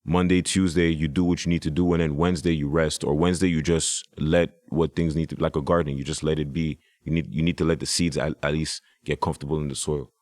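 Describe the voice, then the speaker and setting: A man's voice: a bass voice, level tone, fast, hardly any pauses, darkish tone, dry recording, studio quality. A man, a hip hop artist, talking casually as a podcast guest, rambling with restarts and "you know".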